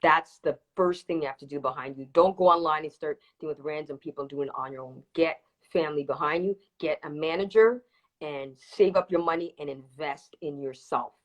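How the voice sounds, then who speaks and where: A woman's voice: sits low, a little fast, rough recording. A woman from Toronto with early years in Jamaica, chatting casually and emphatically as an interview guest, repeating words for stress. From Toronto, Canada, with early childhood in Jamaica. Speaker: interview guest, reggae dancehall singer. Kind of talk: casual live interview chat. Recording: livestream video call.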